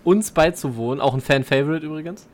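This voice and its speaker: A man's voice: a little high, fast talker. A young man, co-hosting a podcast in casual chat. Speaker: podcast co-host.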